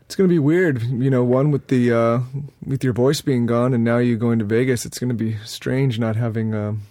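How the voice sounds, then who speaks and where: A man's voice: mid-range voice, fairly even intonation, normal speed, mellow, no echo, clean studio sound. Upbeat teasing man co-host. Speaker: podcast co-host. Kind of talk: casual banter with his wife.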